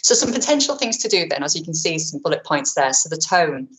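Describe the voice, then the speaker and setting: A woman presenting an online training webinar, talking quickly in a chatty way with many fillers and self-corrections, into a webcam mic. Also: low-pitched, very animated, rough recording. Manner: says she has a powerful voice. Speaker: webinar presenter on vulnerable clients.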